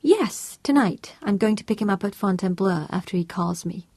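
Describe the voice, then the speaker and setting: A woman's voice: medium pitch, animated, moderate pace, a little mellow, breathy edge, dry recording. A woman narrating an audiobook voices an earnest, chirpy American housewife with a sing-song American accent. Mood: chatty.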